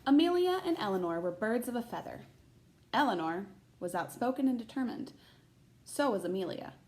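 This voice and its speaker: A woman reading a children's picture book aloud like a teacher, pausing to put questions to young listeners. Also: on the high side, sing-song, a little mellow, reverberant. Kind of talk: expressive read-aloud of a children's picture book with chatty asides.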